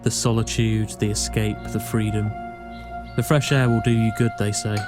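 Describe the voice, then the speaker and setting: An actor playing a reflective, melancholy young male student in a scripted film voice-over, speaking in a measured way. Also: on the low side, level tone, natural voice. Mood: wry. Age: young adult. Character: wistful young male student returning home. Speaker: narrator and lead of a short film. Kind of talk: scripted poetic voice-over narration. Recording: loud music under the voice. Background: prominent music.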